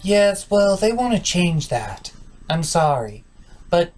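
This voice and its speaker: A man's voice: high voice, sing-song, takes it slowly, pitched above the performer's own voice, exaggerated voice. A man voicing a fussy puppet colleague in a comedy show, prim and scolding about the rules. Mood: prim, apologetic.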